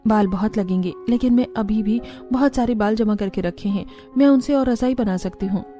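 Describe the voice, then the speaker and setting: A woman's voice: mid-range voice, moderate pace, mellow, faint music behind, lightly acted. A woman voicing a resolute, caring young village mother in a Hindi cartoon story, earnest and plain-spoken. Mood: determined.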